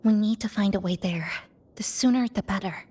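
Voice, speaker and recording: Measured, reflective young woman, game heroine voiced by an actress. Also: medium pitch, a little mellow, slightly rough, lightly acted. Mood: serious, reflective. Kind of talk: video game cutscene voice acting.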